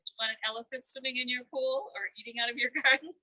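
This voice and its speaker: A woman's voice: high voice, quick pace, no echo, highs cut off. An older woman reading a children's story aloud as a grandparent storyteller, expressively and with playful asides to her young listeners. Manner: expressive, asks playful questions.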